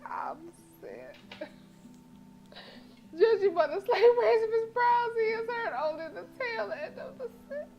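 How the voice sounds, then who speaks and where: A woman's voice: very high voice, animated, unhurried, long pauses, highs cut off. A woman livestreaming a visual novel, reading it aloud with playful, slangy asides. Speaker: video game streamer. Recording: music playing behind the voice. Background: game music.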